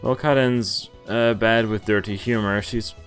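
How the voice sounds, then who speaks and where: A man's voice: lowish voice, steady tone, measured pace. A man streaming a game, casually reading its text aloud and adding laid-back, wry asides. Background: game music.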